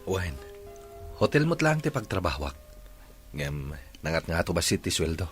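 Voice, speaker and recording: A coaxing young boyfriend in a romantic radio play, acted by a man. He speaks bashfully in a fairly low voice, with soft music behind him. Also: sing-song, moderate pace. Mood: agreeable. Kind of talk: audio drama dialogue.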